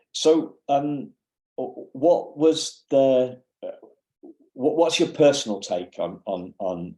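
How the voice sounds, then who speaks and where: A man's voice: deep voice, warm tone, poor audio. A man hosting a conversational interview, hesitant and repeating words as he works his way into questions. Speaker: podcast host.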